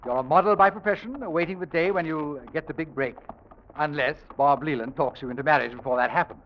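Man playing smooth calculating young gentleman, lightish voice, old radio drama, dull noisy recording. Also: on the high side, fast, lightly acted. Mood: smooth, wooing. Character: smooth, scheming young male houseguest from England, charming yet menacing.

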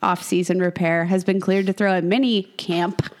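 A woman co-hosting a casual fantasy football podcast, her voice a little raspy from a cold, talking in a chatty, self-mocking way. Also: lowish voice, fairly even intonation, moderate pace. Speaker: podcast co-host.

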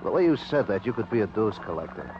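An actor playing a surly, sarcastic movie projectionist in a 1950s radio crime drama, mocking and defiant. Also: male voice, lowish voice, sing-song, fast talker, over music. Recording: muffled old radio, background noise.

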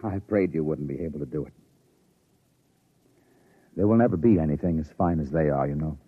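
A deep-voiced, husky spaceship captain, middle-aged and heavy with conscience. He speaks solemnly and deliberately in vintage radio drama style. The recording is muffled, with faint music. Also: no echo. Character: grave, conscience-stricken spaceship captain, no longer young. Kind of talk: 1950s radio drama acting.

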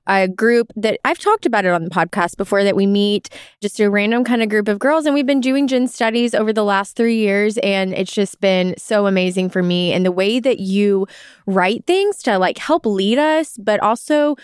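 A woman's voice: mid-range voice, normal speed, smooth, no echo, studio quality. A woman from Arkansas co-hosting a podcast, chatting and gushing with enthusiasm. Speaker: podcast co-host. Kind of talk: casual podcast chat.

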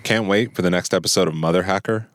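A man with a low voice reads as a podcast announcer. His delivery is scripted, in the manner of a promo and end credits. Music plays clearly behind him. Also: fast talker. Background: loud music.

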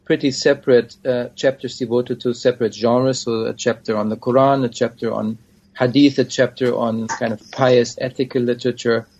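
A man, a scholar being interviewed, answering in a hesitant, thoughtful way with frequent pauses. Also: mid-range voice, flat delivery, a little mellow, husky. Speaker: scholar of Islamic studies, guest. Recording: dull lo-fi audio.

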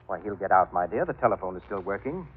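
Mock-polite, sinister radio villain, echoing. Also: male voice, low-pitched, sing-song, quick pace, husky, heavily acted. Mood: calm menace. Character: soft-spoken, menacing villain who claims a deadly touch. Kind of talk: 1940s radio drama acting. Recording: muffled old radio recording, long reverb.

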